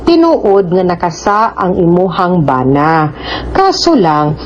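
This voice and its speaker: A woman's voice: on the low side, sing-song, slow. A woman with a Cebuano Filipino accent gives conversational legal advice as a radio host, speaking frankly and admonishing with frequent tag questions. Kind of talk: conversational legal advice to a letter sender and listeners. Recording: muffled audio with clear background noise.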